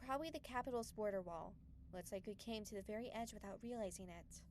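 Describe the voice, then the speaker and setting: A woman playing a virtuous young woman, gentle but frank, in an earnest anime dub style. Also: mid-range voice, fast talker, slight background noise, lightly acted. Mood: thoughtful.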